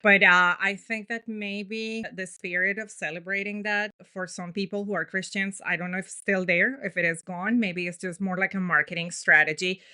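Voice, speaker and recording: A woman's voice: medium pitch, fairly even intonation, normal speed, few pauses, sharp tone. A woman from Colombia, a therapist, hosting a casual podcast conversation, chatty and emphatic with frequent laughs.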